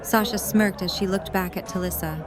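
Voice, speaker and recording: A woman's voice: mid-range voice, steady tone, moderate pace. A woman reading scripted third-person narration for an audio drama story. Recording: music and party chatter behind. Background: music and crowd chatter.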